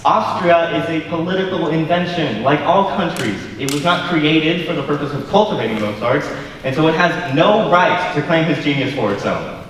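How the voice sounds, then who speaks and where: A young man acting a contrary, cynical drunken poet in a high school stage play, speaking in a deliberate, articulate, argumentative way. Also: animated, a bit bright. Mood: defiant, lecturing. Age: young adult. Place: large school auditorium stage. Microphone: far camera. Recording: distant, echoey auditorium with faint noise.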